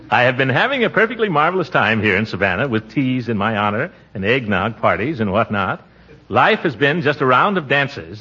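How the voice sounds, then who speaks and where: An actor playing a pompous, easily flustered middle-aged American uncle in a 1940s radio comedy. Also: male voice, medium pitch, pushed and bright, slightly rough, heavily acted. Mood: smitten. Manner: pompous, flustered, given to chuckling. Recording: dull 1940s radio recording.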